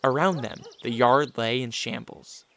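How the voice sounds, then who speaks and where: A man's voice: medium pitch, measured pace, vocal fry, sharp tone. A man narrating a radio drama in a solemn, dramatic storyteller's style.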